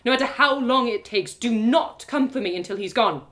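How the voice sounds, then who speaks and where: A woman's voice: a little high, normal speed, a bit bright, close to an everyday voice. A woman voicing a wary female fantasy traveler, urgent and firm with her companion. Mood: urgent, commanding.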